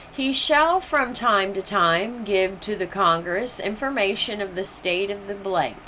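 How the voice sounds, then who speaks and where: A woman reading educational material aloud as a presenter, in deliberate, enunciated short phrases. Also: medium pitch, a bit bright, slight background noise, rough recording.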